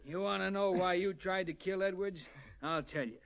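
A man's voice: sits high, normal speed, gravelly. A man playing a tough, pushy, hard-boiled police sergeant in a 1940s radio drama. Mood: commanding. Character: tough, bullying male police sergeant grilling suspects. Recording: muffled 1940s radio recording.

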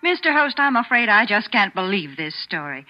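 A woman's voice: medium pitch, big pitch swings, moderate pace, faint music behind. A woman doing folksy, chatty advertising banter in 1940s radio style. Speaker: commercial spokeswoman. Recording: muffled old radio recording.

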